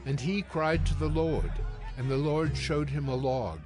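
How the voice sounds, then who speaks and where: A man narrating scripture as scripted audio, with formal, measured storytelling. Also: fairly high pitch, animated, over music.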